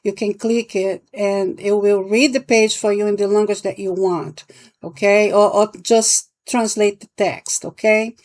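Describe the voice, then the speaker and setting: A woman with a Brazilian accent, a native Portuguese speaker, talks at a slow pace in a mid-range voice. She explains things casually and haltingly, often checking in with the listener. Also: mid-range voice, steady tone, darkish tone. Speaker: palmistry vlogger and teacher.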